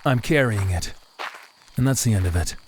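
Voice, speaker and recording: A man playing a teasing, self-assured, protective hiker in an audio drama, firm and unhurried. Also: fairly low pitch, lively intonation, slight background noise, close to an everyday voice.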